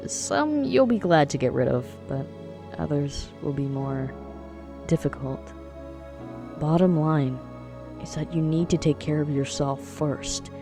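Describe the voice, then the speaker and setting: A man's voice: a little high, expressive, a little slow, gravelly, over music. A man playing an earnest, supportive young friend in an audio drama, speaking with emphatic, reassuring phrasing. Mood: firm advice.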